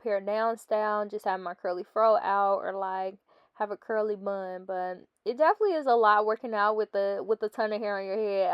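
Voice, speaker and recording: A woman's voice: mid-range voice, smooth, no echo, muffled recording. Chatty stuffy-nosed young woman. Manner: chatty, laughing, talks to viewers as "guys". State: stuffy nose. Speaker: fitness vlogger. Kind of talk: casual voice-over chat.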